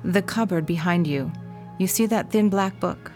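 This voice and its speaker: An actress playing a strange, quiet housewife in a radio drama, speaking softly and detached. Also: female voice, lowish voice, normal speed, soft background music, lightly acted. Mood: eerily calm. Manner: soft, eerie and detached.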